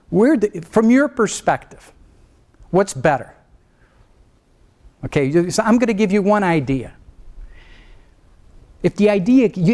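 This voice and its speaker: A middle-aged man, a trial lawyer, lecturing law students in an animated way, swinging between a low calm voice and sudden raised mimicry. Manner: animated, mimics other lawyers with rising pitch, then drops low to show restraint.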